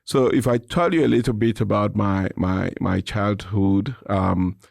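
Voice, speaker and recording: A middle-aged man with a Cameroonian English accent, speaking as an interview guest in a measured, reflective, explanatory way. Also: lowish voice, vocal fry, darkish tone, no room sound, studio quality. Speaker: interview guest, nonprofit president and CEO. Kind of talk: reflective conversational interview answers.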